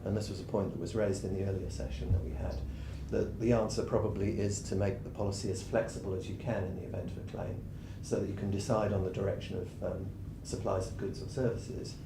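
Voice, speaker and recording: Hoarse man presenting on tax. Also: flat delivery. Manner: measured, explanatory, with frequent ums. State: losing his voice, clearing his throat. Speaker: tax adviser presenting a session. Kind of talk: conference presentation. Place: conference room. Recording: echoey room with rumbling noise.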